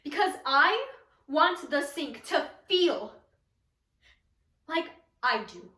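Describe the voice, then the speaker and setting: A woman's voice: high voice, big pitch swings, takes it slowly, sharp tone, voice pushed up, heavily acted. A young woman performing a dramatic monologue as a giggling, unstable, bitter teenage storyteller, theatrical and swinging in intensity. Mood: brooding, hurt. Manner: theatrical, breaking into unhinged laughter, swinging intensity. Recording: echoey room.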